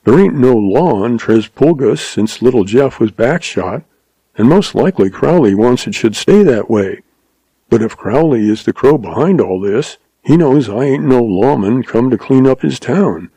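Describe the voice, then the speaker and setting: A man's voice: medium pitch, expressive, normal speed, warm tone, breathy edge, no room sound, low-quality recording. A man as a grim, terse cowboy gunman. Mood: brooding. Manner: terse, gritted, hard-bitten frontier talk. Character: tall tough cowboy rustler hunter, grim and menacing.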